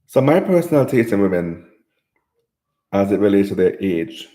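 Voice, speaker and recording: A man's voice: lowish voice, very animated, normal speed, mellow, roomy sound. A man from Jamaica hosting a live stream, reading viewer comments aloud and answering them in casual chat.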